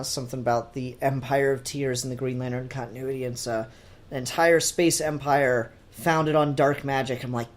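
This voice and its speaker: A man hosting a casual pop-culture podcast, talking informally with geeky enthusiasm and some mild swearing. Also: medium pitch. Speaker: podcast host.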